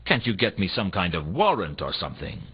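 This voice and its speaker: An actor playing a world-weary, sardonic private detective in a noir video game, with a wry, deadpan, pressing delivery. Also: male voice, low-pitched, fairly quick, husky, exaggerated voice. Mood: pressuring, sly. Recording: dull, muffled old console game audio.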